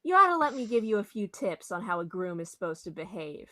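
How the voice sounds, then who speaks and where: A woman's voice: mid-range voice, big pitch swings, brisk, fairly bright tone. A woman playing a nosy, bossy, know-it-all hotel attendant in an old-time radio comedy mystery, with a brash, exclamatory manner. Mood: knowing, pushy.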